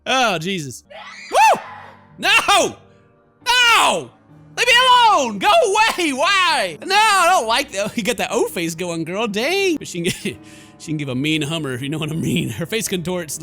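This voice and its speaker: A man plays a horror game for viewers in an excitable, theatrical way. His voice is high and bright, mixing dramatic reading with jokey asides, with game music behind him. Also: moderate pace. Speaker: gaming streamer. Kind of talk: horror game playthrough, dramatic read-aloud and casual commentary.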